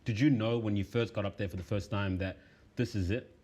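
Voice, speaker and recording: A man hosting a casual interview, firing off quick and eager questions in a fairly low voice. A faint hiss of background noise sits under his speech. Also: fairly even intonation, mellow. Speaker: interview host.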